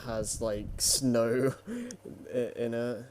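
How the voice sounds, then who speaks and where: A man's voice: medium pitch, very animated, drawn-out words, crowd noise. A man chatting casually as a co-commentator on a storytime show. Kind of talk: casual chat.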